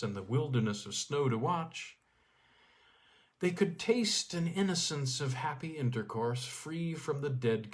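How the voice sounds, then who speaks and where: A man reading a literary story aloud as a storyteller, with deliberate phrasing and dramatic pauses. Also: mid-range voice. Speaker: storyteller, audiobook reader.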